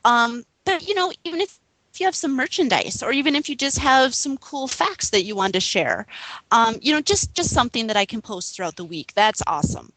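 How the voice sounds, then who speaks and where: Casting: woman podcast co-host, somewhat high voice, earnest and halting, dry close sound. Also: lively intonation, a bit bright, no room sound, rough recording. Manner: earnest, halting, frequent ums. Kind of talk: casual chat.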